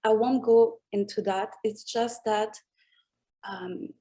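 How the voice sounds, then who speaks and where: Slow, thoughtful French-accented woman. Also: medium pitch, steady tone, dark tone. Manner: slow, thoughtful, searching for English words, laughs often. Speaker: music artist guest. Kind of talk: reflective interview answers.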